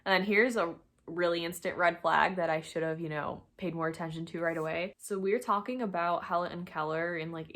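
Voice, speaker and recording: Lower-voiced young woman telling a casual story. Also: fairly bright tone. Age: young adult. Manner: chatty, informal, self-deprecating, acts out quoted dialogue. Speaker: storytime vlogger.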